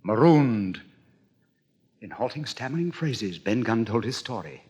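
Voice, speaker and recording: A man's voice: medium pitch, lively intonation, measured pace, darkish tone, breathy edge. A man narrating an adventure story in a dramatic, suspenseful, theatrical style. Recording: old record with clicks, light noise.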